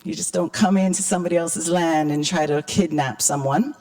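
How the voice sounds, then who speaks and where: A woman from Hawaii speaking as an invited panelist to an audience, with a croaky, hoarse voice and a conversational, wry manner. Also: fairly low pitch, fairly quick, mellow. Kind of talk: public talk to an audience.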